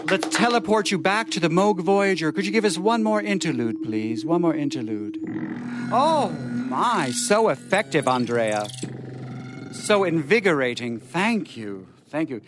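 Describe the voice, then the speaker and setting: A man hosting a radio show in a playful, theatrical, gushing manner. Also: mid-range voice. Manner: effusive, gushing. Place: radio studio.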